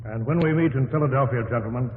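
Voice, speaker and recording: A man's voice: medium pitch, fast talker, slight background noise, natural voice. An actor playing a dignified statesman and general in formal, stately 1950s radio oratory. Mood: stately, solemn. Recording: tinny radio broadcast, long hall reverb.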